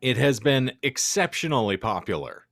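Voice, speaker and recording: A man's voice: medium pitch, very animated, moderate pace, vocal fry, fairly bright tone, dry recording. A man hosting a podcast, delivering a theatrical introduction with wordy, mock-grand phrasing and casual banter. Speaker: podcast host.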